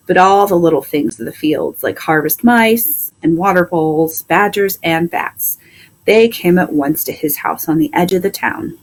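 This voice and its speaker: A woman reading a children's story aloud as an expressive storyteller. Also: lowish voice, rough recording.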